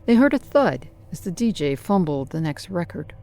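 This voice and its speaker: A narrator telling a scripted slasher horror story in a deliberate, menacing, dramatic way with theatrical pauses. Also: medium pitch, very animated, creaky, a little mellow.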